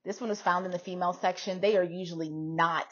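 A woman's voice: fairly low pitch, steady tone, fast talker, muffled recording. A middle-aged American woman talking casually to camera in an informal, emphatic way, repeating phrases as she shows items. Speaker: vlogger showing a hat collection. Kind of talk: casual chatty product try-on. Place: small room indoors at home.

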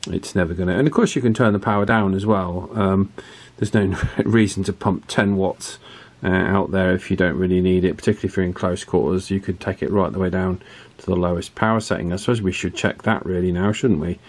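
Low-voiced British man reviewing gear. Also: fairly even intonation, a little fast. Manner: chatty, colloquial British turns of phrase. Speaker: radio reviewer. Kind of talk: casual hands-on product review.

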